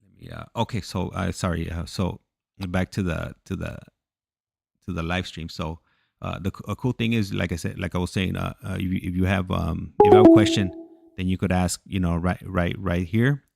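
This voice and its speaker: A man chatting casually as he hosts a livestream, halting, repeating himself and losing his thread. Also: low-pitched, fairly even intonation, gravelly, a little mellow, dry recording, clean studio sound. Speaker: livestream host. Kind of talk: casual rambling livestream chat.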